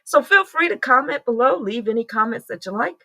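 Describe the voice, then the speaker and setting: A woman's voice: fairly high pitch, animated, brisk, sharp tone. A middle-aged woman talks her way through a casual morning livestream in a rambling, chatty manner. She greets viewers by name and breaks into laughter often. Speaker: livestream host.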